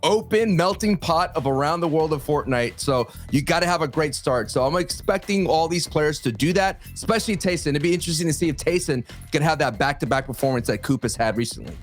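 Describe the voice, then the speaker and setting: A man's voice: on the high side, animated, brisk, few pauses. A man giving live esports analysis in a conversational, emphatic way. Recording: music playing behind the voice.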